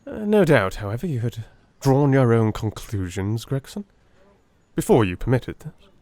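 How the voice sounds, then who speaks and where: Sardonic Victorian sleuth played by a male reader, brisk and commanding, for classic audiobook drama. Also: medium pitch, very animated, darkish tone, no room sound, natural voice.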